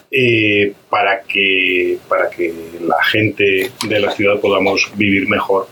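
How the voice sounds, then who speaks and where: A man with an accent from Spain giving spontaneous, methodical explanations as an interview guest, often halting to search for words. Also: low voice, pushed and bright, a little hiss or hum, rough recording. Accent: Spanish from Spain. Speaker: activist guest.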